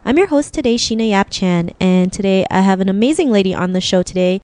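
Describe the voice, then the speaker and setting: A woman's voice: mid-range voice, expressive, fast, darkish tone, no room sound. A woman hosting an interview podcast, chatting in an upbeat way and often saying "like" and "right?". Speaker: podcast host. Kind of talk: casual interview chat.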